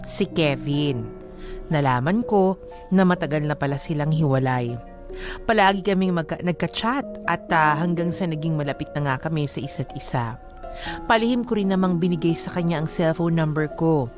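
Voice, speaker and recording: Woman, Filipino accent, low pitch, radio DJ delivery, music in the background. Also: moderate pace. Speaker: radio DJ and co-host. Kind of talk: radio banter and dramatic letter reading. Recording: music bed under the voice.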